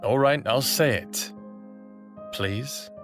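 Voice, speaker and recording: Smartass human rebel, late thirties: unhurried, sardonic, audio drama over prominent music. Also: male voice, medium pitch. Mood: teasing. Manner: sardonic, teasing. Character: defiant, smartass thirty-eight-year-old human male rebel. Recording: music behind dramatic dialogue.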